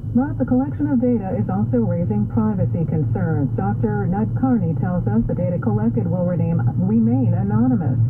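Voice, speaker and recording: A woman anchoring a radio newscast, reading stories and introducing reporters in a crisp, measured way. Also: mid-range voice. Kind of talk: news reading. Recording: tinny phone-like radio with noise.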